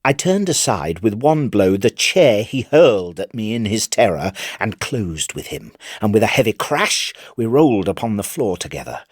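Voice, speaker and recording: An actor playing a gleeful, vengeful madman confessing his crime in a dramatic first-person literary reading, theatrical and swinging between hushed and fierce. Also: fairly low pitch, sing-song, normal speed, hardly any pauses, slightly rough, no room sound, clean studio sound, heavily acted. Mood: frenzied, triumphant.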